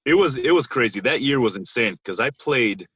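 A man's voice: mid-range voice, animated, a little fast, a bit bright, breathy edge, no room sound. A man in his thirties from Israel, giving conversational interview answers as a podcast guest over a band-limited call. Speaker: podcast guest, basketball coach.

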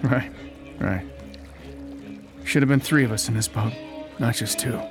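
An actor playing a nervy, determined conspiracy investigator on the run in an audio drama, speaking in a halting, earnest way. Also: male voice, mid-range voice, music in the background, natural voice. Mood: weary.

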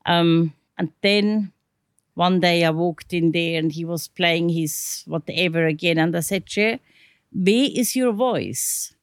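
A woman's voice: on the low side, slow, dry recording, studio quality. An older woman with a South African accent, reminiscing casually in a blunt, matter-of-fact way. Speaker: musician's mother, interview guest.

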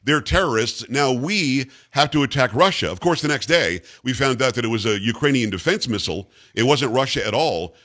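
A male talk show host whose voice swings up and down with lively intonation as he questions his guest. He talks enthusiastically and often stumbles into restarts before getting his point out. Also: mid-range voice, brisk, hardly any pauses. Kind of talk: radio talk show interview.

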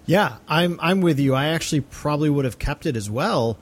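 A man's voice: fairly high pitch, animated, a little fast. A man co-hosting a podcast, talking casually through a film discussion. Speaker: podcast co-host.

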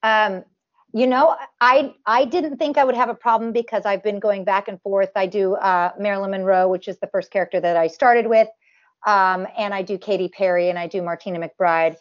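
A woman's voice: mid-range voice, fairly even intonation, moderate pace, highs cut off. A woman with a nasal voice, a performer talking casually in an interview, full of restarts and fillers. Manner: talks in long runs with many restarts and fillers. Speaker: tribute performer guest.

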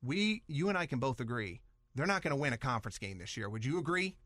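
A man's voice: medium pitch, sing-song, fast, a bit bright, dry recording. A man hosting a sports podcast, talking in an easygoing, conversational way and steering the questions. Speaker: podcast host.

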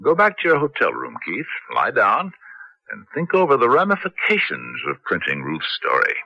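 An actor playing a cautious, measured American man giving counsel in a 1970s radio drama. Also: medium pitch, wildly swooping pitch, creaky, pushed and bright, husky, dry recording, heavily acted. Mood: cautioning, firm. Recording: dull, dry old radio recording.

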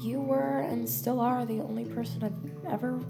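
A woman's voice: on the high side, fairly even intonation, normal speed, gravelly, over loud music. A woman playing a guarded, blunt but vulnerable American teenage girl in an audio drama, earnest and slangy. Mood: vulnerable, confessing. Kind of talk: naturalistic audio drama acting.

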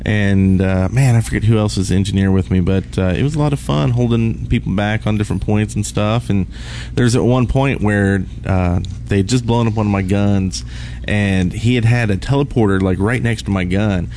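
A man's voice: fairly low pitch, normal speed, hardly any pauses, warm tone. A man co-hosting a gaming podcast, telling casual anecdotes on a convention floor. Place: busy convention hall floor. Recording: noisy convention floor ambience. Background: convention floor background noise.

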